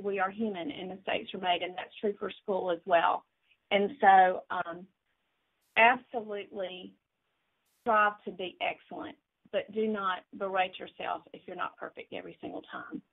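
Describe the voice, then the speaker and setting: A woman presenting a webinar as associate dean, rambling with many fillers over a video call. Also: mid-range voice. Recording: phone-like video call audio.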